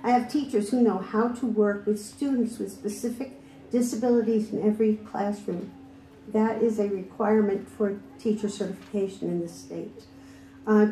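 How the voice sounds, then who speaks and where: A woman's voice: mid-range voice, mellow. A woman, a former school district director, reading prepared public testimony firmly and asking pointed questions. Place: hearing room. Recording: echoey room with faint background noise.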